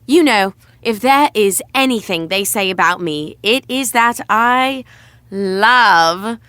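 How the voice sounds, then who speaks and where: Bright, uptight young woman, measured pace. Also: medium pitch, lively intonation, clean tone, dry recording. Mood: sarcastic. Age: young adult. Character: uptight, self-righteous young know-it-all woman, dryly sarcastic. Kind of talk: audio drama romantic comedy acting.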